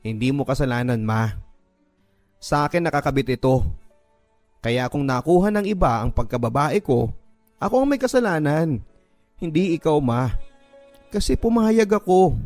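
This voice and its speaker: A man's voice: on the high side, lively intonation, moderate pace, long pauses, darkish tone, no echo. A man with a Filipino accent voicing a hurt young single mother in a radio drama, pleading and emotional. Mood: tearful, self-blaming. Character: wounded young single mother, tearful and defiant. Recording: music bed behind the voice.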